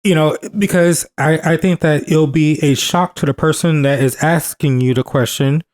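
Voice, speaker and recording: A man in his thirties with a somewhat high voice talks through a podcast topic in a casual, earnest way. He circles back on his phrases, stacking repetitions for emphasis, and often restarts mid-sentence. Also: steady tone, normal speed, a little mellow. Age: 30s. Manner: earnest, repeats himself for emphasis. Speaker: podcast co-host.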